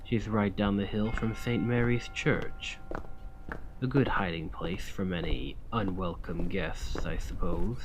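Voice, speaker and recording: A man acts out a weary, gallant vampire gentleman from an audio roleplay, centuries old and desperate for a cure. His voice sits fairly low and moves very slowly. He phrases everything with formal, old-world politeness. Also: fairly even intonation, noisy background. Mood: courteous. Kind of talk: scripted ASMR roleplay acting.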